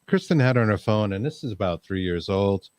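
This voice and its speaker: A man's voice: fairly low pitch, expressive, brisk, a little mellow, dry recording. A man hosting a casual podcast chat, easygoing, with many fillers and easy laughs. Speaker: podcast host.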